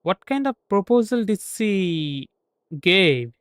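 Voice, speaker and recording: High-pitched man livestreaming a game. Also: very animated, slow pace, no room sound, studio quality. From India. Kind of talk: casual live gameplay commentary.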